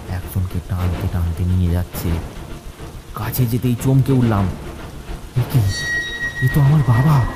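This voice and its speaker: Deep-voiced man narrating horror. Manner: dramatic, suspenseful storytelling. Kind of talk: scripted first-person horror story narration. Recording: loud music and rain behind. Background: music and rain sound effects.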